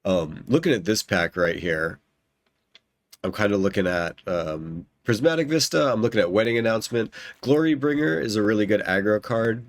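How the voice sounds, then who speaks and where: Stuffed-up young man, low voice. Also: expressive, normal speed, no room sound. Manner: rambling, self-correcting, lots of ums and likes. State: stuffed-up nose. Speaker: gaming YouTuber. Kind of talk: casual commentary while drafting a card game.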